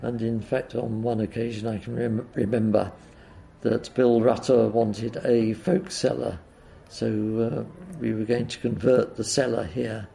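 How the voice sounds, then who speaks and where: An older man with a croaky voice, recalling the past in an interview, hesitating often with uhs and ums. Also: medium pitch, level tone, a little slow, mellow, slight background noise. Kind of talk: oral history reminiscence.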